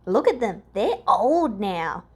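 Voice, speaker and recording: A woman voicing a boyish, excitable young man with a teasing streak in a story reading. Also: medium pitch, slow, breathy edge, full character voice.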